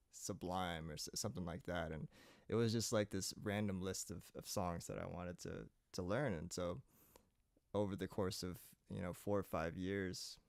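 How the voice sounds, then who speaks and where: A young man from Saipan talks in a very low voice, easy and unhurried, full of "um" and "like". The sound is close and dry, with no room to it. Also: no echo. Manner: relaxed, rambling storytelling with many fillers. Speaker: guest singer-songwriter. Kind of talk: casual interview chat.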